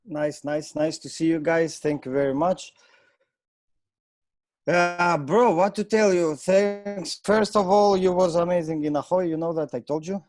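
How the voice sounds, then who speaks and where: A man in his thirties from Russia, a club DJ chatting on a video call in halting, relaxed English. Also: on the high side, no echo, clean studio sound. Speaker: resident club DJ, panel guest. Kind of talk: casual video call chat. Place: small room indoors.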